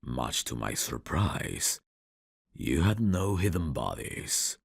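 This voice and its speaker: Very deep, gravelly vampire count. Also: male voice, very animated, dry recording, studio quality, heavily acted. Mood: intimate, intrigued. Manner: slow, courtly, old-fashioned, intimate phrasing. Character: suave male vampire count, courtly, seductive, quietly menacing. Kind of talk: ASMR roleplay acting. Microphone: close dry studio mic.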